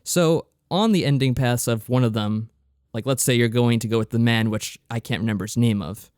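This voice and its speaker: A man's voice: mid-range voice, brisk, a little mellow, clean tone, dry recording, studio quality. A man co-hosting a podcast, explaining things in a casual, loosely phrased review style. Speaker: podcast co-host.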